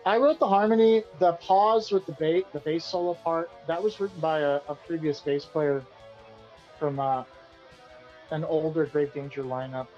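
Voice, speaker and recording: A man, the band's singer and guitarist, answering interview questions in a relaxed, rambling way and often repeating himself. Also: a little high, normal speed, highs cut off, soft background music. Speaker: band vocalist and guitarist being interviewed.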